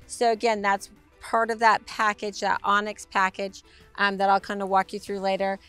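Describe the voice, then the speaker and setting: A woman with a raspy, hoarse voice giving a bubbly, upbeat sales tour of a motorhome. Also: medium pitch, completely flat delivery, moderate pace, fairly bright tone, soft background music. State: hoarse from a week of talking. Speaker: RV sales presenter. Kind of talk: enthusiastic walkaround tour.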